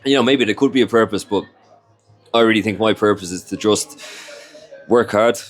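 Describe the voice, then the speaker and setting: A man's voice: lowish voice, moderate pace, faint music behind. A young Irish man, whose first language is Irish, chatting casually in an interview and rambling with lots of fillers. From Ireland. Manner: rambling, heavy on "you know" and "like" fillers.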